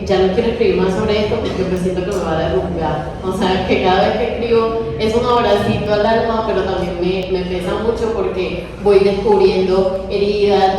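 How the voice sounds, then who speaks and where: An eager young woman asks a personal question from the audience, apologizing with little laughs. She sounds distant, in a large echoing hall with faint noise behind. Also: mid-range voice. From Colombian Caribbean coast. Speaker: audience member asking a question. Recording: echoey hall, mic from far off.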